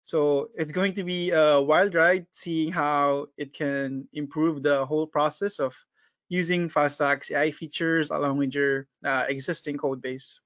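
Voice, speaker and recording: A man's voice: fairly high pitch, fairly even intonation, measured pace, fairly bright tone, no room sound, lo-fi sound. A man introducing a webinar as product marketing manager, upbeat with frequent fillers.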